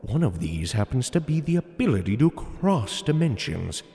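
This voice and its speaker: A man's voice: medium pitch, very animated, normal speed, warm tone, long reverb tail. A man playing a huge, gloating, theatrical supervillain mastermind in an audio drama, with a grandiose, taunting delivery. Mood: condescending. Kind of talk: hobby audio drama acting.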